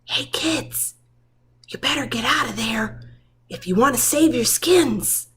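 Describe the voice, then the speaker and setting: A woman's voice: a little high, lively intonation, takes it slowly, a bit bright, husky, pitched above the performer's own voice, heavily acted. A woman voicing an alert, urgent male farm cat sergeant from a children's storybook, with a conspiratorial manner. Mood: urgent whisper.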